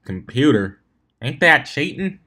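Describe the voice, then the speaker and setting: A man's voice: fairly high pitch, big pitch swings, unhurried, sharp tone, voice pushed up, heavily acted. A man voicing a blunt, folksy female farm pony with a drawling country way of talking. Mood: puzzled.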